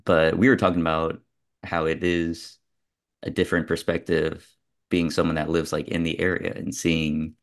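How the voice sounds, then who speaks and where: Male host, casual film chat, very even low register, reflective and loosely discursive. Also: creaky. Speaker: podcast host.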